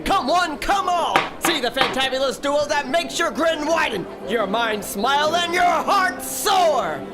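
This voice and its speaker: A man's voice: extremely high pitch, animated, a little slow. A man playing a grandiose carnival barker in an audio drama, drawing out words in showman's patter. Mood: showy, rousing. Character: grandiose carnival barker announcing a main attraction. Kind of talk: theatrical announcing in audio drama. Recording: loud music under the voice.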